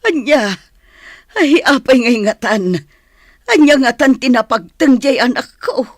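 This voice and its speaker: A woman's voice: high-pitched, lively intonation, unhurried, dry recording, studio quality. A woman acting an anxious middle-aged mother in an audio drama, with an Ilocano accent. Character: anxious mother of a grown son, fretful.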